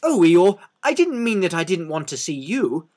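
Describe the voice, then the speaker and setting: A friendly, simple-hearted storybook bear, voiced by a man. He talks gently and earnestly in a lightly husky, lilting voice. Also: high voice, big pitch swings, normal speed, pitched above the performer's own voice.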